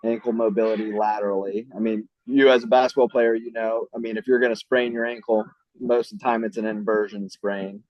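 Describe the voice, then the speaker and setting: A man's voice: on the low side, flat delivery, brisk, dry recording. A man working as a mobility trainer, giving loose, conversational answers full of "I mean" and "right?". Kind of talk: casual explanatory interview answers. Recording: dull lo-fi sound.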